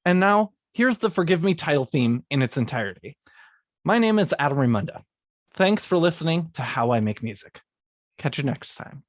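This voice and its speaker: A man who is a guest composer, talking in a hesitant, halting way and calling himself mealy-mouthed. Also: a little high, very animated, no room sound, studio quality. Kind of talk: casual chat and reading an outro.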